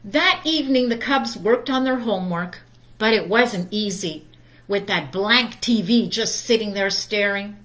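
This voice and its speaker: A woman reads a children's storybook aloud at a slow, deliberate pace. Her voice is medium in pitch, with expressive storytime phrasing. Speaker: storytime reader.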